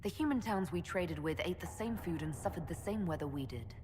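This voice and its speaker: Elf leader for a game actress: low-leaning, matter-of-fact resolve, reverberant hall, faint noise. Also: female voice, expressive, fairly quick, close to an everyday voice. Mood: guarded. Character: resolute, guarded young elf woman, leader and herald. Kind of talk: dramatic video game dialogue.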